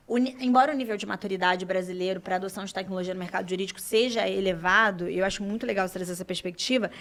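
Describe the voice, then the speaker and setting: A woman's voice: medium pitch, fairly bright tone. A woman with a Brazilian Portuguese accent hosting a podcast, chatty and addressing her listeners directly. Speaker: podcast host. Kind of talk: casual interview chat.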